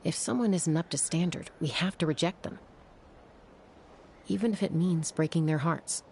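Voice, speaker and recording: An actress playing a calm, earnest young leader of a flying squad in a video game, speaking in a reassuring way. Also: female voice, low-pitched, normal speed, vocal fry, slight background noise.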